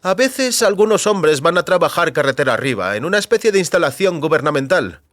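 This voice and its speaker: A man's voice: a little high, sing-song, fairly quick, a bit bright, dry recording, voice pushed up. A man voicing a friendly young waiter in an audio story, informative and helpful. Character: helpful young male café waiter in small town.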